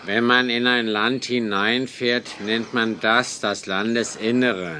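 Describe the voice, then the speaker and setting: A man's voice: mid-range voice, steady tone, takes it slowly, sharp tone, slight background noise. A man voicing a sensible, dryly grumbling tabby cat in a children's audio drama. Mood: matter-of-fact.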